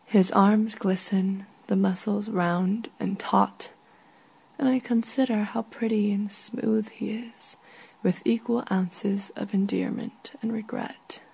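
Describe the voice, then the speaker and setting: Breathy woman slowly reading a short story. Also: mid-range voice, steady tone, dark tone, poor audio. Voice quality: breathy, often falling to near whisper. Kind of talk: literary first-person story narration.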